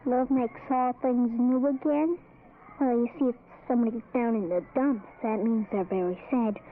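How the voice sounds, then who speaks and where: A woman's voice: a little high, fairly even intonation, normal speed, close to an everyday voice. A woman voicing a sweet, simple, childlike president in a scripted radio spot, naive and earnest. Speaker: performer in a public service spot. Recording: tinny, faintly noisy old radio recording.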